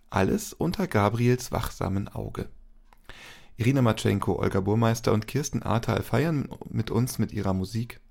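Guy, pastor hosting a church podcast, gravelly creaky voice, reading a script. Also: medium pitch, darkish tone.

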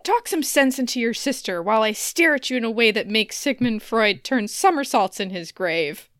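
A woman's voice: a little high, animated, normal speed, a bit bright, dry recording. Woman reading a stern, anxious TV mom, dry sound. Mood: stern, scolding. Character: disapproving, anxious middle-aged mother. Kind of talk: parody table read of TV family drama.

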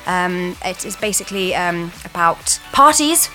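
A woman's voice: lowish voice, animated, unhurried, pushed and bright, faint music behind, low-quality recording. A woman comedian chatting casually as a podcast guest, hesitant and self-deprecating. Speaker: guest sketch performer and comedian.

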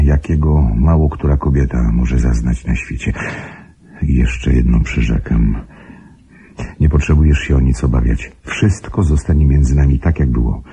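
An actor playing a brooding, controlling older gentleman in a radio play, with a gravelly, husky voice and a measured, weighty delivery. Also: a bass voice, full character voice. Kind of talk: Polish radio play acting.

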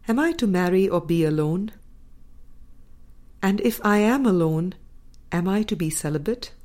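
Woman voicing an earnest, mellow-toned troubled seeker. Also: on the low side, expressive, normal speed, natural voice. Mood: troubled, questioning. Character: earnest, troubled, questioning spiritual seeker, a student.

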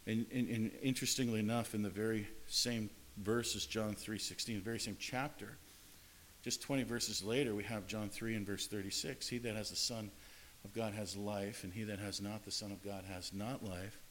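A man's voice: fairly low pitch, fairly even intonation, normal speed, a bit bright, slight background noise, rough recording. A man preaching a sermon in an earnest way, often repeating and restarting his words. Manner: earnest, repetitive phrasing with frequent restarts.

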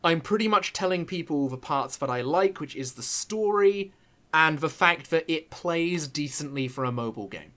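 A man YouTuber chatting casually, candid and excitable, swearing freely. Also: on the high side, moderate pace. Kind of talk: casual chat.

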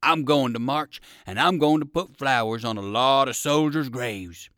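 A man's voice: medium pitch, unhurried, fairly bright tone, no room sound, studio quality, natural voice. A man voicing a kind old circus dog soldier in a children's story, hearty, polite and emphatic. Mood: earnest, friendly.

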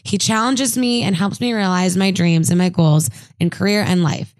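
A woman's voice: fairly low pitch, normal speed, no echo. Woman reading listener letters, dry sound. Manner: animated, jokey, reads letters aloud with asides. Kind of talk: casual chat.

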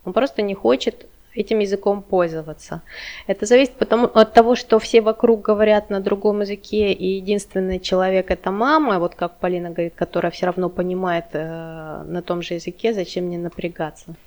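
A woman podcast host asking questions in an animated, emphatic way. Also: mid-range voice, a little fast. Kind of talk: casual podcast conversation.

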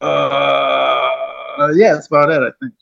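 A man's voice: mid-range voice, big pitch swings, slow pace, gravelly, fairly bright tone. A man in his thirties chatting casually as a podcast co-host, sounding muffled and away from the microphone. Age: 30s. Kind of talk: casual chat.

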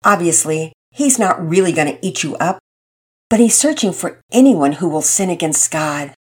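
A woman voicing a gentle father in a storybook, speaking patiently and earnestly to his son. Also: lowish voice, lively intonation, moderate pace, breathy edge.

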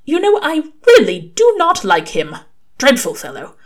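A woman's voice: high voice, huge pitch swings, unhurried, voice pushed up, exaggerated voice. A woman voicing a prim, earnest male angel in an audiobook, with formal, fussy and hesitant phrasing. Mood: fussy, curious. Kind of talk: audiobook character dialogue.

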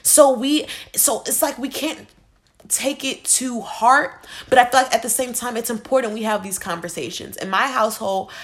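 A woman's voice: a little high, moderate pace. A twenty-year-old woman, a college student, talking candidly and earnestly in a casual way, with many "like" fillers. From United States. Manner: earnest, casual, heavy use of "like". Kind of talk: candid personal talk.

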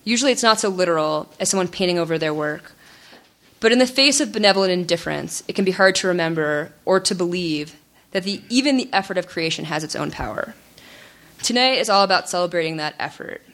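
Low-voiced woman hosting a live talk. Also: fairly quick, fairly bright tone. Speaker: event host. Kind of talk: scripted introduction at a live event.

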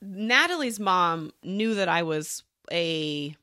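Woman guest telling funny stories, kinda low bright voice, acts out the quotes, really dry sound. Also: animated, takes it slowly, dry recording. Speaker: guest storyteller. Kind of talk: casual comedic anecdote telling.

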